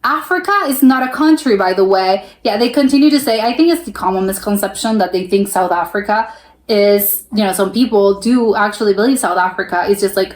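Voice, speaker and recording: A woman's voice: medium pitch, roomy sound. A woman reacting to clips in casual commentary, chatty with many fillers and restarts, laughing often. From United States. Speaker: reaction video host.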